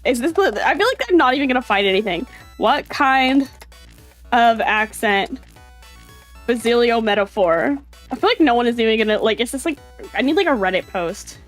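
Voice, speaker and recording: Female streamer, higher-pitched, playful and sweary casual chat with music in the background. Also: expressive, moderate pace. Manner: playful, mimics British accents, swears casually. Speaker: livestreamer reacting to videos.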